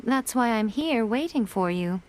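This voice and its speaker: Sly, teasing fox priestess, higher-pitched. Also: female voice, lively intonation, a little hiss or hum. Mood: amused, smug. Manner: unhurried, playful, smug. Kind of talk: video game character dialogue. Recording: game music behind the voice.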